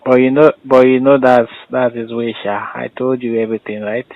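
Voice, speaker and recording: A man with a Nigerian accent is heard on a muffled, lo-fi phone recording. His mid-pitched voice has a gravelly creak. He talks casually and briefly, asking probing questions and giving short instructions. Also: level tone, moderate pace. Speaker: questioner in a private phone conversation.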